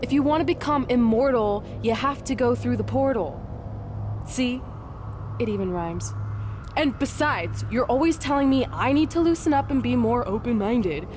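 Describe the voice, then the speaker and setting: A woman's voice: a little high, animated, moderate pace, noisy background, natural voice. A woman playing an upbeat, naive young adventurous sidekick in a video game, eager and bubbly. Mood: persuasive. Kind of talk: video game cutscene acting.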